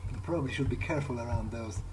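A man thinking aloud in character as a vampire during a video game, in a halting, musing way. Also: medium pitch, over music, poor audio. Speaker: gamer roleplaying in character. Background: video game ambience.

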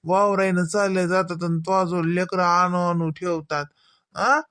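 A man voicing an elderly, protective village father in a comedy cartoon, with a halting, homely manner and a rural Varhadi Marathi accent from Vidarbha. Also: high-pitched, flat delivery, bright, forceful tone, clear, resonant tone, dry recording, studio quality. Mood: concerned, advising.

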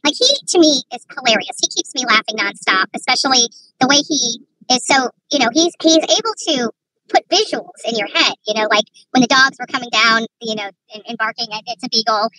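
A woman vlogger giving casual, rambling commentary to her viewers in an earnest, halting way, repeating herself. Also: on the high side, pushed and bright, recorded from a distance, a little hiss or hum, low-quality recording.

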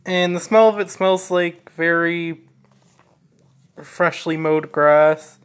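Low-voiced woman, very slow, casual unboxing narration. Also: fairly even intonation, clear, resonant tone. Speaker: unboxing video maker.

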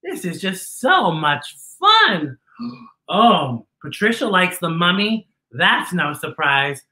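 A middle-aged man reading a picture book aloud to children with theatrical, exaggerated, drawn-out delivery. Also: high voice, very animated, sharp tone, echoey room. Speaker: children's storytime host.

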